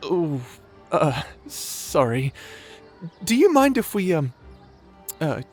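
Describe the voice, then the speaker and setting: A man's voice: on the high side, sing-song, takes it slowly, darkish tone, soft background music. A man playing a shy, nerdy young wizard in a fantasy audio roleplay, stammering, bashful and apologetic. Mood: bashful, polite. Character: shy nerdy young male wizard, flustered, eagerly polite.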